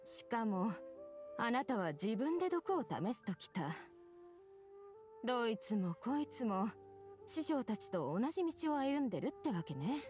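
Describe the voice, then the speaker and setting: A serious, perceptive woman physician from a video game. She speaks with concern and measured gravity, her intonation moving widely over soft background music. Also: fairly high pitch, very animated. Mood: wistful, resigned. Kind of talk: dramatic Japanese game voice acting.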